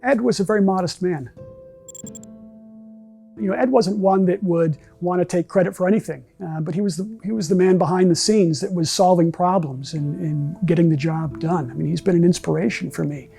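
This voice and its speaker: A middle-aged man speaks in a measured interview style. His voice sits fairly high for a man, with a soft mellow tone and noticeable creak. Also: faint music behind. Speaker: bereaved brother interviewed. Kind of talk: measured personal recollection in a documentary interview. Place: large meeting room with empty chairs.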